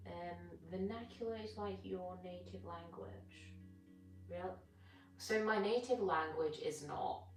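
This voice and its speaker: Low-voiced British woman, chatting informally. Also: slow. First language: Gujarati. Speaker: fitness live streamer. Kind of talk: casual chat with live viewers while stretching. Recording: roomy, distant mic with music behind. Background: music.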